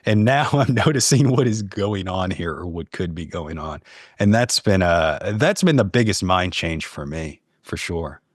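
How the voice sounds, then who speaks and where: A man's voice: low voice, lively intonation, unhurried, no echo, studio quality. A man speaking as a podcast guest in a casual, conversational way. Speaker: podcast guest, horror fiction writer. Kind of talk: casual chat.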